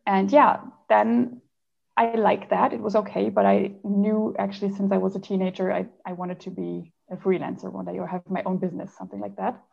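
A woman from Germany tells her career path in a loose, rambling way. Her voice is full of vocal fry, and the audio is slightly dull and lo-fi. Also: medium pitch, little pitch movement, fairly quick. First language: not French. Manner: chatty, rambling, frequent "like" and laughs. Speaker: interview guest. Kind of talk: casual career story.

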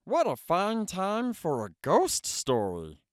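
A man's voice: high voice, huge pitch swings, takes it slowly, no echo, studio quality, pitched above the performer's own voice, heavily acted. A man voicing Frog, a cheerful, patient grown male frog, reading a storybook aloud. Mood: storytelling. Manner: encouraging, storytelling.

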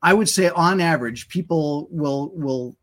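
A man's voice: a little high, a little slow, fairly bright tone. A man hosting a live webinar interview, chatty and hesitant, with frequent fillers. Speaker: webinar host.

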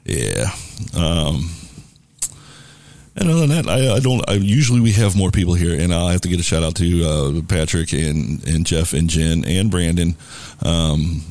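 A man with a deep, booming voice hosting a casual live radio show from a bar, rambling easily with lots of fillers. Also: normal speed, dry recording. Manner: relaxed, rambling with many fillers. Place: bar and restaurant.

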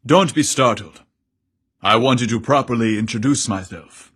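A man voicing a deep-voiced, eloquent male merman who speaks with polite, courteous grace in a storybook reading. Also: unhurried, a bit bright, husky. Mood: gracious.

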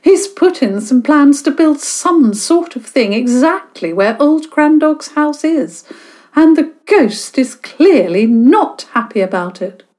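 A woman's voice: high-pitched, animated, slow, a little mellow, voice pushed up. A woman voicing a dramatic village woman who tells a ghost story with theatrical flourish. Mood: disapproving.

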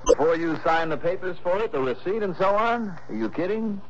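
An actor playing a cool, self-assured insurance investigator in a 1960s radio drama, with a dry, clipped, unruffled delivery. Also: male voice, sits high, expressive, a little fast, crowd noise. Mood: cool, needling. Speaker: lead detective and narrator. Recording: noisy, muffled old radio recording.

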